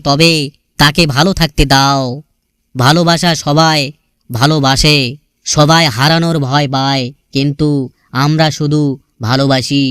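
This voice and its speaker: A man's voice: on the high side, fairly bright tone, smooth, no room sound, clean studio sound. A man reciting sentimental scripted lines about love in an earnest, melancholy, poetic manner.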